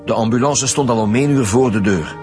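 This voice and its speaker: An actor with a Flemish accent playing a blunt, matter-of-fact police commissioner in a radio drama. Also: male voice, mid-range voice, fast, over music, close to an everyday voice. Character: male police commissioner, dry and sure.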